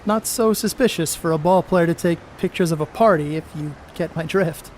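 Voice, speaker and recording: A man's voice: fairly high pitch, moderate pace, a little hiss or hum, close to an everyday voice. A man on stage playing a brash, cocky 1930s baseball star who blusters in folksy, profane slang. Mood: teasing, boastful. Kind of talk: amateur stage play acting.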